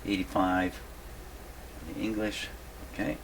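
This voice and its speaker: An older man speaks in a low register over noticeable background noise. He talks casually, as if demonstrating at a workbench, thinking aloud as he goes. His delivery is folksy and rambling, with a self-deprecating streak. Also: level tone, unhurried. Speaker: vintage radio repair hobbyist presenting a video. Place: indoor radio repair workshop.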